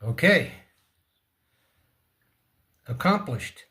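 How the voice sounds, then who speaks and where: A man giving a casual hands-on repair demonstration, speaking in halting short fragments and muttering to himself as he works. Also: fairly high pitch, very animated, bright, forceful tone. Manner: talks to himself and the object. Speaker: repair tutorial presenter.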